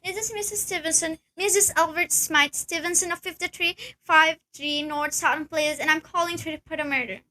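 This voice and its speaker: Young woman doing a really high piercing nervous wife, bossy and flustered, radio play style. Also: steady tone, brisk, hardly any pauses, pushed and bright, rough recording. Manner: insistent, indignant, flustered. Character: anxious, demanding, easily exasperated married woman. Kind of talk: amateur radio play acting.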